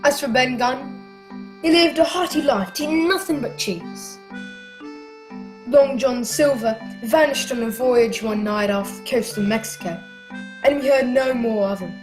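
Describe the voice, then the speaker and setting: A woman's voice: on the high side, measured pace, music in the background, close to an everyday voice. A woman playing the earnest narrator of an adventure radio play, telling it as a dramatic memory. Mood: reflective. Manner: dramatic storytelling.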